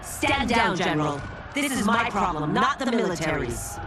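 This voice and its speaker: An actress voicing a defiant young warrior princess in a video game, with a commanding, assertive manner. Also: female voice, mid-range voice, very animated, normal speed, recorded from a distance, lightly acted. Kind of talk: cinematic video game acting.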